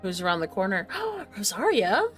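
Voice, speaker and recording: Female streamer, lively swooping mid-pitched voice, casual halting commentary, faint music. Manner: halting, musing aloud. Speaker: game streamer. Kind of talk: casual live gameplay commentary, reading game choices aloud. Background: faint game music.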